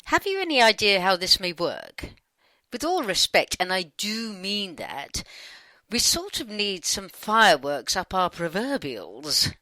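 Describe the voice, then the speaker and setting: A woman speaks in a bright voice that swings expressively up and down. She is wry and informal as she reads her questions in a channelled dialogue. The recording is close and dry. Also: mid-range voice, sing-song, unhurried, no echo. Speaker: channeller putting questions to spirit beings. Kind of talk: conversational reading of a channelled dialogue.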